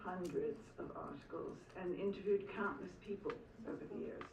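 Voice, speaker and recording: An older woman from Philadelphia with some English traces in her accent gives an acceptance speech in a low voice. She speaks in a reflective way with small hesitations. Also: fairly even intonation, normal speed, a little mellow, dull audio. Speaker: honoree giving acceptance speech. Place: school hall at an awards ceremony. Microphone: podium microphone. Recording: echoey hall, distant mic.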